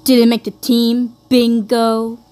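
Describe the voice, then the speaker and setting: A woman's voice: a little high, completely flat delivery, slow, warm tone, soft background music. A woman voicing an arrogant, taunting male sled dog in a cartoon fandub, with a smug, mocking drawl. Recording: noisy amateur fandub recording.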